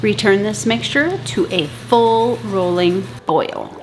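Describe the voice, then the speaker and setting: A woman's voice: medium pitch, background noise. Chatty woman teaching home canning. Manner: chatty, self-deprecating, brisk instructions. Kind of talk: casual how-to talk to camera. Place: home kitchen, indoors. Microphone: camera at close range.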